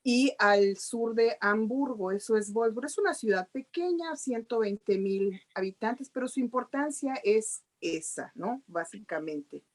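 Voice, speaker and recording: A woman's voice: a little high, lively intonation, a little slow, hardly any pauses. Middle-aged Mexican woman, guest on a video call, chatty and explanatory, Mexican Spanish accent. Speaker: guest journalist. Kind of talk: interview answers.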